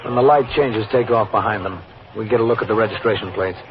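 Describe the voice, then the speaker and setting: A man's voice: on the low side, fast talker, lightly acted. A man playing an authoritative New York police captain with an American accent, clipped and matter-of-fact in an old-time radio drama. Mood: calm, instructing. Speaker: narrator and police captain character. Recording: dull, noisy vintage radio recording.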